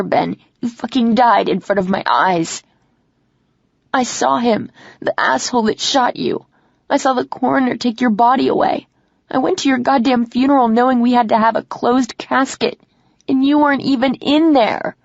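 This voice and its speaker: Woman doing a heartbroken young woman yelling her lungs out, husky gravelly edge, dull dry recording. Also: mid-range voice, unhurried, no room sound. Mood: furious. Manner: shrieking, heated outbursts. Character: grieving, furious young woman confronting her lover.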